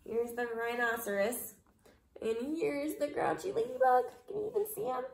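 A young woman reading a picture book aloud to young children, expressive and dramatic, pausing to explain and ask questions. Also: high-pitched, gravelly. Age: young adult. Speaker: kindergarten teacher reading aloud. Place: living room at home. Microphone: camera or phone a short distance away. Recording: roomy indoor echo.

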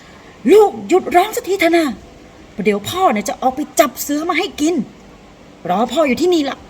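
A woman voicing a wily father goat who shouts and scolds gruffly as a scary monster in a children's folktale. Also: high-pitched, big pitch swings, moderate pace, a little hiss or hum, pitched above the performer's own voice. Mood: loud threatening. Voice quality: gruff put-on voice.

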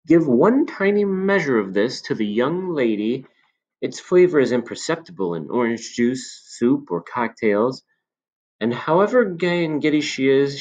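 A man voicing a sly elderly male potion seller who coaxes with smooth, insinuating persuasion. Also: medium pitch, big pitch swings, a little slow, a little mellow, dull audio, voice pushed up.

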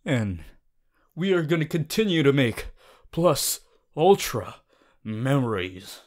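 Man playing a theatrical young superhero student, swinging pitch. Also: sing-song. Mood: earnest, upbeat. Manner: halting, theatrical, breaks into booming heroic declarations. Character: boisterous, cheery young male superhero student. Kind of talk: ASMR audio roleplay to a listener.

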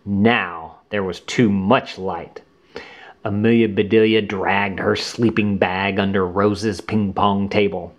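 Slow, expressive man reading to children. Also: on the low side. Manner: expressive, deliberate phrasing for young listeners. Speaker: children's book reader and channel host. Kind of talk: animated read-aloud storytelling for children.

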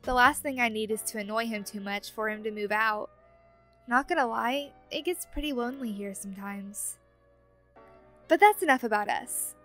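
A woman playing a friendly, teasing young ghost roommate in an ASMR roleplay audio drama, chatty and expressive. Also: a little high, normal speed, soft background music, lightly acted. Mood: chatty, candid.

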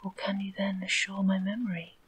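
A woman voicing a humble, wistful old street lamp in a soft whisper. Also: mid-range voice, little pitch movement, fairly quick, slight background noise, natural voice.

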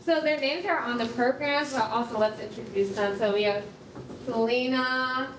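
A young woman casually hosts a live event in a high voice. Her pace is relaxed and slow. She is recorded from afar with very loud noise around her. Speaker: event host. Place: rehearsal hall before live audience, masked. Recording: distant, echoey hall, loud crowd noise.

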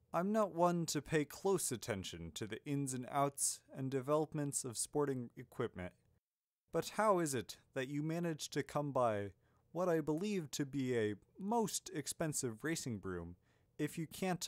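A man's voice: on the high side, sing-song, dry recording, clean studio sound, pitched above the performer's own voice. A man voicing a grandfatherly old wizard headmaster in an audiobook story, courteous, wordy and a little puzzled. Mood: puzzled, probing. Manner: genteel, wordy, halting over asides.

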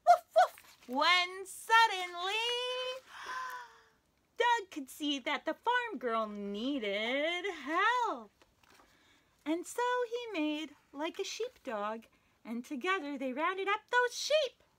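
A young woman with a high, bright voice leads a children's story time. She reads slowly in a sing-song, animated way, swooping up and down and adding playful animal noises. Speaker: children's librarian. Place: small indoor room, wood-panelled.

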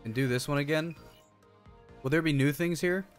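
A man's voice: on the high side, normal speed. A man doing casual game stream commentary, chatty and slangy, thinking aloud as he plays. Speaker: streamer, show host. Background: music.

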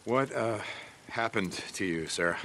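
Video game biker drifter, low gruff voice, weary, terse, noisy background. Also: male voice, lively intonation, measured pace, a bit bright. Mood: weary, probing. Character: gruff, weary male biker drifter, survivor in post-apocalypse. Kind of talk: video game cutscene acting.

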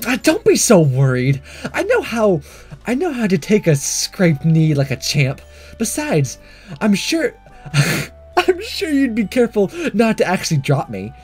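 Man putting on a bubbly high-pitched schoolgirl. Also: huge pitch swings, unhurried, a little mellow, husky, soft background music, exaggerated voice. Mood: playful teasing. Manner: playful, sarcastic, emphatic. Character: bubbly, teasing high-school girl in anime visual novel.

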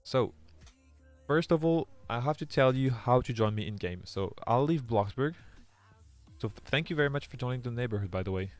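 A man's voice: mid-range voice, animated, normal speed, soft background music. A young man from France with a French accent, a native French speaker, chatting with viewers and reading their chat aloud during a live game stream, often restarting his sentences. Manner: reads chat aloud, many restarts, earnest.

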